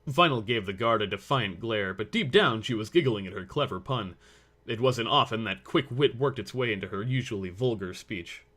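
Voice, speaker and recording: A man's voice: fairly low pitch, expressive, normal speed, fairly bright tone. A man reading scripted story narration as the narrator of a fan fiction audio drama.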